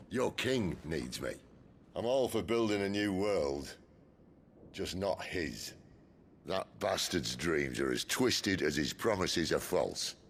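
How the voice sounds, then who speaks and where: A weary rebel in a dramatic video game, with an extremely deep, gravelly voice. He is dry and defiant, swinging expressively through his lines. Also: slight background noise, heavily acted. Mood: defiant, scornful. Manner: dry, sardonic. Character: weary, defiant rebel man, sardonic and world-wise.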